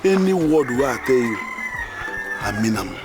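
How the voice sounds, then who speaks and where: A man's voice: mid-range voice, very animated, noisy background, natural voice. An actor with a Nigerian Pidgin accent playing a lovesick man pleading for love. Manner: pleading, slow and earnest. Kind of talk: film acting.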